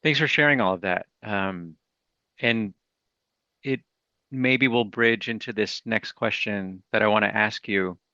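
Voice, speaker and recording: A man's voice: fairly low pitch, unhurried, sharp tone, no echo. A man hosting a podcast, asking an earnest, open-ended interview question in a conversational way. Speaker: podcast host. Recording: dry, dull lo-fi audio.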